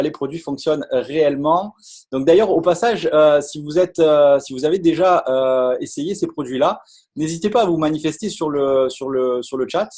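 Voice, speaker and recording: A man presents a product in a live online pitch, eager and salesy, stumbling over words and repeating himself. His voice sits a little high and has a slightly husky edge. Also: a little mellow. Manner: enthusiastic salesy, many hesitations and repeated words.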